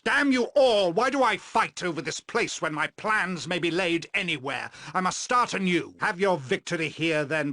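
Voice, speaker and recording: A man voicing a cold, vengeful, soulless elven sorcerer villain for a video game, grandiose and menacing, with deliberate, theatrical, formal diction. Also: fairly high pitch, bright, forceful tone, no room sound. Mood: furious, defiant. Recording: dry studio recording.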